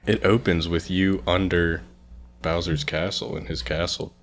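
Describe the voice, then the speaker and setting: A man's voice: sits low, fairly even intonation, measured pace, a little hiss or hum. A young man speaking as a guest reviewer, giving his opinions in a casual, conversational style.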